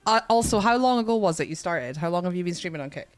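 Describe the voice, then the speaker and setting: A woman's voice: on the low side, lively intonation, fast, dry recording. A woman hosting a casual podcast interview, asking friendly questions with frequent restarts and laughs. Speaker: podcast host.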